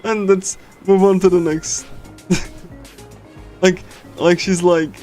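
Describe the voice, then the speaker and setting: A man with a slightly high voice talks casually on a livestream as he reads through a visual novel. He speaks haltingly, like a giggly, chatty fan, with soft game soundtrack music playing behind him. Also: flat delivery. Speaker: streamer reading a visual novel. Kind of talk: casual livestream chat with loose readthrough.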